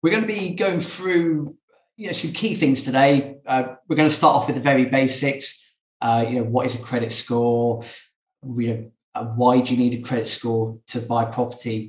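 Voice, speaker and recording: A man's voice: medium pitch, steady tone, moderate pace. A man hosting a podcast interview in a casual, conversational style. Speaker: podcast host. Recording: echoey, roomy sound.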